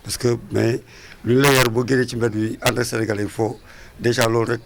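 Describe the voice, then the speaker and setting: A man from Senegal answering an interview in a relaxed way, mixing in French words. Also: mid-range voice, a little fast, background noise.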